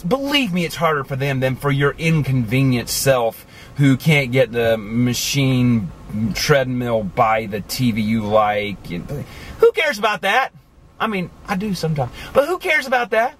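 A man in his forties talking casually to the camera from inside a car, animated and chatty, with many asides. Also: on the high side, slight background noise. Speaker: vlogger. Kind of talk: casual motivational talk to camera. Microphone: camera on the dashboard.

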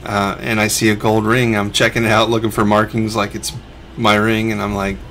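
Low gravelly man, easygoing narration. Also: flat delivery, brisk, fairly bright tone, a little hiss or hum. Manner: laid-back, conversational, explains as he goes. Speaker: reseller vlog host. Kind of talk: casual voice-over narration.

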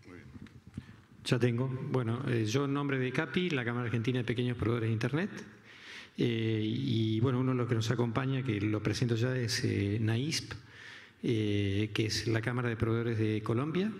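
A man's voice: medium pitch, a little mellow. A man hesitantly introducing fellow association members on a conference stage. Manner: hesitant, many "eh" pauses. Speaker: association representative. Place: large conference hall on stage. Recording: reverberant conference hall.

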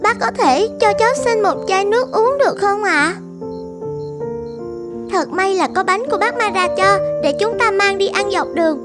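A woman's voice: very high-pitched, normal speed. A woman voicing a devoted, determined fifteen-year-old girl in a cartoon, polite and earnest. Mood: earnest pleading. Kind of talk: animated film dubbing. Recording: music behind the voice.

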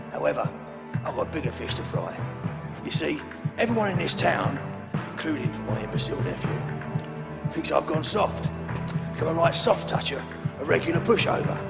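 Older Cockney gang boss, very gravelly, theatrical menace, swooping delivery, long reverb. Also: male voice, a little high, very animated, moderate pace, long pauses, over loud music. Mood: mocking menace. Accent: London Cockney. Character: ageing male London crime boss, menacing and theatrical. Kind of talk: video game cutscene acting.

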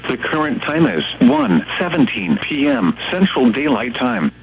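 A synthetic male text-to-speech voice reading weather radio forecasts and observations in a measured, formal, impersonal way. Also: mid-range voice, big pitch swings, creaky, highs cut off. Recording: weather radio broadcast with hum and static.

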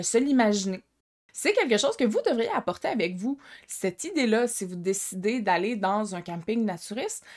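Chatty Québécoise woman vlogging. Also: medium pitch. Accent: Québécois French. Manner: expressive, gestures with hands, frank and chatty. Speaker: sex coach vlogger. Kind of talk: casual talk to camera. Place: living room at home, indoors.

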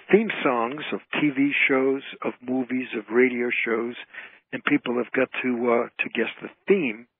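A man's voice: mid-range voice, husky, no echo. A man chatting casually as a guest on a radio interview, speaking over a phone line. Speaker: radio show guest, entertainer and trivia author. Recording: tinny, band-limited phone line.

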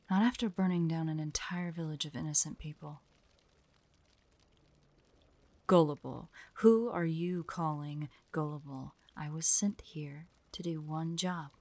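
A woman acts out a proud, wary young female hunter in an audio drama. Her voice sits fairly low and moves at an unhurried, deliberate pace. She is terse and prickly, often hushed, and sounds close and dry. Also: dry recording. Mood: grimly resolved. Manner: terse, suspicious, prickly, with breathy hushed asides. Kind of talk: dramatic audio roleplay, addressed to an unheard listener.

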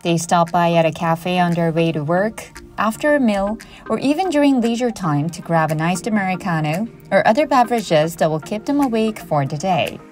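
A woman narrating a travel video in a calm, scripted voice-over. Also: on the low side, normal speed, few pauses. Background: background music.

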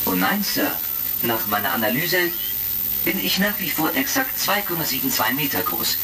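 A man's voice: fairly high pitch, gravelly. A man playing a huge, polite, literal-minded combat robot that announces its emotions in a retro sci-fi radio drama. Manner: announces its emotions aloud, pedantically precise. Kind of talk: retro science-fiction radio drama acting. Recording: crackly vintage record, distant, music behind.

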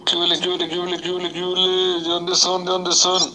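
A man's voice: sits high, monotone, a little fast, heavy background noise. A man calling in casually over a walkie-talkie app. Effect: walkie-talkie app transmission.

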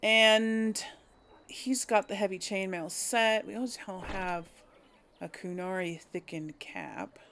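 A woman gives casual game commentary at an unhurried pace, with a creaky edge to her voice. She deliberates aloud as she goes, and faint noise and game ambience sit behind her. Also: medium pitch, a bit bright. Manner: musing aloud, hesitant deliberation. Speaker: let's play host.

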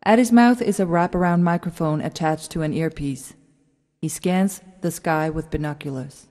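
A woman's voice: sits low, normal speed, a little mellow. A woman narrating a script, reading out stage directions as the narrator. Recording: long hall-like reverb.

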